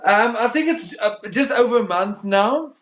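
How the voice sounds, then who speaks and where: A man's voice: high voice, animated, normal speed, fairly bright tone. Afrikaans-accented man, roomy sound. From South Africa. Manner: hesitant, repeats words, says um often. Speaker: livestream host. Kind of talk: casual livestream chat. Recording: roomy echo.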